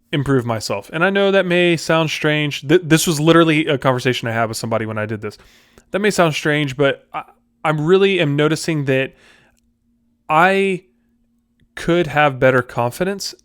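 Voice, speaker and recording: Higher-voiced man giving casual motivational talk. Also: a bit bright, dry recording, clean studio sound. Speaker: podcast host.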